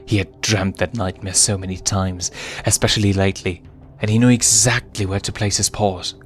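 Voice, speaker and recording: A man's voice: sits low, fairly even intonation, normal speed. A man reading fan fiction aloud as dramatic scripted story narration. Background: music.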